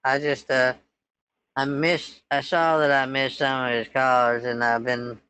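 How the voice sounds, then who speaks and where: A man's voice: medium pitch, little pitch movement, unhurried, bright, forceful tone, clean tone. A man in his twenties, a scambaiter posing as a son, chatting casually in a hesitant, rambling way. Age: 20s. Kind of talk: casual phone chat.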